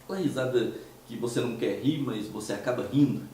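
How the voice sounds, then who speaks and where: A hoarse man with a Mineiro Brazilian Portuguese accent talks through his commentary at a relaxed, unhurried pace. His voice is dark and mellow, with rhetorical questions and hesitant restarts. He sits well back from the mic in a very echoey room, with faint noise underneath. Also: mid-range voice, distant mic. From Minas Gerais, Brazil. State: hoarse from a cold. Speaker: host of a commentary show. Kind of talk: casual monologue commentary.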